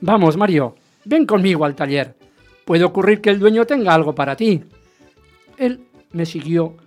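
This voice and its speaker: A man acts a jealous, grudging working-class husband in a radio drama. His delivery swings widely in pitch, over faint music. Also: animated, normal speed, darkish tone. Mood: wry, grudging. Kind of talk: radio drama acting with first-person narration.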